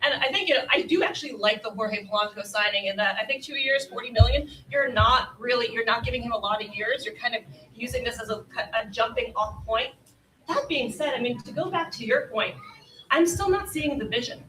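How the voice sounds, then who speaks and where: A woman's voice: a little high, brisk, a bit bright. A woman giving opinionated, conversational sports analysis as a panelist at a live event. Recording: echoey, distant mic, faint room noise.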